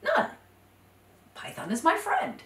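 A woman's voice: extremely high pitch, huge pitch swings, takes it slowly, fairly bright tone, roomy sound, far from the mic, voice pushed up, full character voice. A woman voicing a flustered male iguana who protests his innocence. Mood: indignant. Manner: indignant, protesting.

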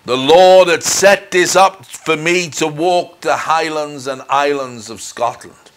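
A middle-aged man giving a personal testimony as a minister, speaking in an earnest, deliberate, measured way. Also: a little high, a bit bright. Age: middle-aged or older. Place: indoors in front of a dark curtain. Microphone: lapel mic.

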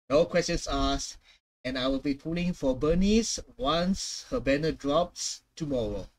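A man's voice: a little high, low-quality recording. A young man giving casual, emphatic and slangy reaction commentary as a video host. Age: young adult. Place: small room at home.

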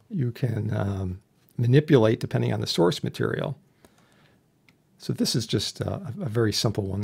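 A man narrating a casual software tutorial as an instructor, explaining things informally. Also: medium pitch, gravelly, slightly rough. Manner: informal, explanatory with homely analogies. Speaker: instructor demonstrating audio software. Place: indoor voice-over for a screen recording.

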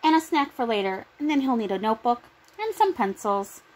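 A middle-aged woman with a high voice reads a children's story aloud. She is recorded in a small room at home, on a device at about arm's length. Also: big pitch swings, a little fast, a little mellow, poor audio. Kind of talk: picture book read-aloud.

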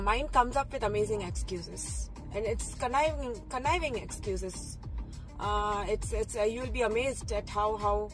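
A woman's voice: mid-range voice, poor audio. Earnest woman talking in a car. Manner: reflective, earnest, repeats phrases, many fillers and restarts. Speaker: vlogger. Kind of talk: motivational talk while driving. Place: inside a parked or moving car. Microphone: phone camera close, angled up from below.

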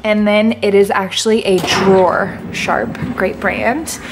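A woman's voice: mid-range voice, little pitch movement, measured pace, vocal fry, noisy background. A young woman, a real estate agent, giving a bubbly, enthusiastic house tour from a camera held at arm's length. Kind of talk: upbeat house tour vlog. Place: inside a large empty house. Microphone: handheld camera at arm's length.

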